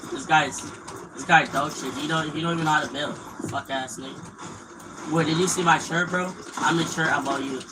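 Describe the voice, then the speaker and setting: A man's voice: fairly high pitch, steady tone, normal speed, background noise. A young man streaming a video game live, trash-talking other players in crude, profane, mocking banter. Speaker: gaming streamer.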